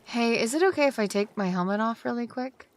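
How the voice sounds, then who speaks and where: A woman's voice: fairly high pitch, lively intonation, a little fast, slight background noise, close to an everyday voice. An actress playing an amazed, curious young woman visiting a sci-fi city in an audio drama. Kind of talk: full-cast audio drama acting.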